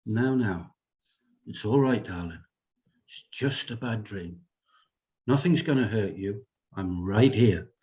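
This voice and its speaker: A man playing a gentle, intelligent doctor and father in a dramatic script reading, speaking in a soothing, reassuring manner. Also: fairly low pitch, slow, pauses often. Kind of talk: dramatic script table read.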